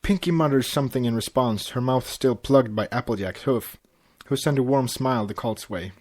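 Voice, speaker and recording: A man's voice: mid-range voice, normal speed, darkish tone. A man narrating a story aloud as an amateur podcast host, tripping over words and correcting himself. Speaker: podcast host reading fan fiction aloud.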